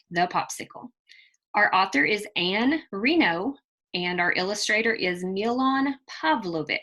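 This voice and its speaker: Bright-voiced woman reading a storybook to children. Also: medium pitch. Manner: deliberate, emphatic delivery addressed to children. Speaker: teacher reading a picture book to children. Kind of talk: expressive read-aloud storytelling with questions to young listeners.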